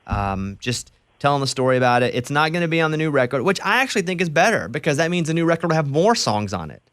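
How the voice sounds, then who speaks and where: A man's voice: a little high, very animated, fast, fairly bright tone, no room sound. A man hosting a radio show, chatting casually and enthusiastically with a guest, often restarting his sentences. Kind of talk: casual interview chat. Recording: dry close studio mic.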